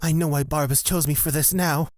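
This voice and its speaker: A woman playing a menacing, self-assured male blood witch in a fantasy audio drama, speaking in a deliberate, commanding way. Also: deep voice, steady tone, a little mellow, no echo, studio quality, natural voice. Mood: determined.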